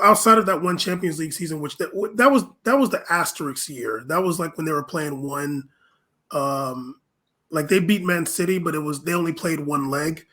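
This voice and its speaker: A man co-hosting a casual soccer podcast, speaking in a halting, loosely phrased way peppered with "like". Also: a little high, sharp tone. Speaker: podcast co-host and soccer pundit. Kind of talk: casual sports discussion.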